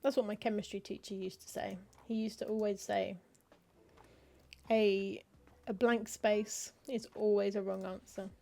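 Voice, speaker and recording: A woman talks through a cozy game in a relaxed, conversational way, often murmuring half to herself as she scans lists. Her voice is mellow and warm rather than bright, sitting at an ordinary middle pitch, and she adds dry little asides. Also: a little slow, vocal fry. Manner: chatty, wry, muttering lists of titles to herself. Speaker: streamer playing a cozy game. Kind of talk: casual gameplay commentary, reading game text aloud.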